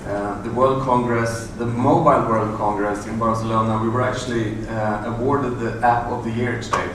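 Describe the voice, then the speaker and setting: A middle-aged man giving a conference talk in a large hotel room, halting with many fillers and restarts. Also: medium pitch, fairly even intonation. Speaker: conference presenter. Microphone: room audio from a far camera. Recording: echoey, distant room recording with noise.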